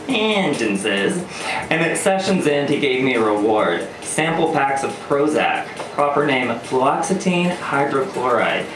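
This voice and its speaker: A man performing scripted first-person spoken-word storytelling live, with a wry, sardonic, theatrical delivery. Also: medium pitch. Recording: roomy live venue, distant mic, music. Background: electronic music beats.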